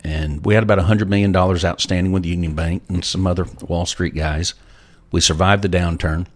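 A man's voice: sits low, fairly even intonation, a little fast. A middle-aged man as an interview guest, telling folksy stories and handing out life advice. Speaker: guest entrepreneur. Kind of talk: casual storytelling interview.

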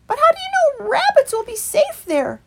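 Woman playing a squeaky impatient young rabbit. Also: wildly swooping pitch, normal speed, pushed and bright, a little hiss or hum, exaggerated voice. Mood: eager. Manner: eager, then petulant.

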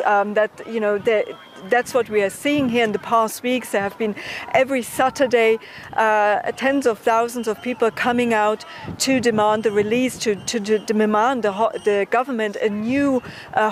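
A woman news correspondent giving a live report, speaking haltingly with frequent hesitations and repeated words. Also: a little high, a little hiss or hum.

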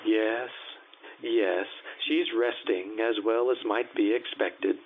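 A man's voice: on the low side, steady tone. Man playing a solemn doctor, tinny radio sound. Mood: guarded. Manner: formal, measured, evasive. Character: grave, guarded family physician, courteous and solemn. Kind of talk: old-time radio drama acting. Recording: tinny narrow-band radio sound, faint hiss.

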